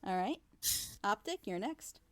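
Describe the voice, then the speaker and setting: A woman's voice: mid-range voice, lively intonation, unhurried. A woman hosting a casual group reaction with an informal, easygoing way of talking. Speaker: host, series director, voice actor.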